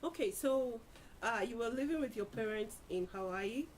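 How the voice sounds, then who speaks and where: A woman's voice: fairly high pitch, animated, normal speed, a little hiss or hum. Friendly woman from Ghana hosting. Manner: friendly, encouraging, laughs often. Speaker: interview host. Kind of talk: casual conversation.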